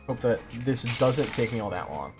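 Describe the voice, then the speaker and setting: Young male streamer, lively swinging delivery, casual slang and self-deprecation, light music underneath. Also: medium pitch, normal speed. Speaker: livestreamer, host. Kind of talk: casual livestream chat while building.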